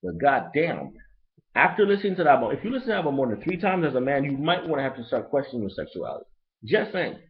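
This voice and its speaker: A man with a Haitian accent who grew up in Brooklyn, ranting bluntly and profanely in a casual, rambling album review. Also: fast. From Brooklyn, New York. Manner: profane, blunt and rambling, lively pitch swings. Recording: lo-fi dull recording.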